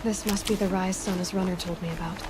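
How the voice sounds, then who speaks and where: A woman's voice: lowish voice, steady tone, quick pace, background noise, lightly acted. A woman playing a determined, observant young hunter in a video game, thinking aloud in a thoughtful way. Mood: pensive, somber. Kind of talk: video game character acting, musing to herself. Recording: game audio with loud music behind.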